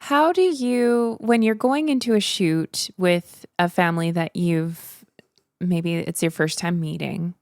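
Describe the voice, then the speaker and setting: A woman hosting an interview podcast, asking questions in a relaxed, supportive way. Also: lowish voice, a little slow, dry recording, clean studio sound. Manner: supportive, frequent short backchannels. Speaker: podcast host. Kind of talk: casual interview.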